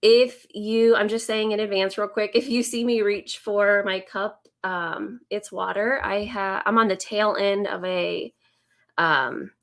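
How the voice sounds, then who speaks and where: A woman in her thirties, raspy from a summer cold, talking slowly and warmly to viewers on a live stream as a business coach, with many ums. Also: mid-range voice, fairly even intonation. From Indiana, US. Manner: slow, friendly, many ums.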